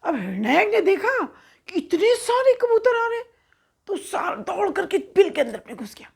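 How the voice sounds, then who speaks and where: High-pitched woman telling children's stories. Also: very animated, normal speed, gravelly. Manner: explanatory, checks in with listeners. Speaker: children's storyteller. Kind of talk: animated conversational storytelling for children.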